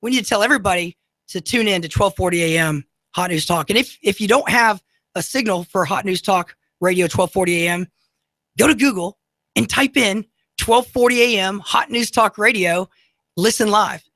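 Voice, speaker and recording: A man in his thirties with an unusually high voice, hosting a radio talk show in an upbeat, motivational way with many fillers. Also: normal speed, bright, forceful tone, dry recording. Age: 30s. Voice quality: high, unusual voice often mistaken for a woman. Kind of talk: talk radio monologue with ad reads. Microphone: studio microphone.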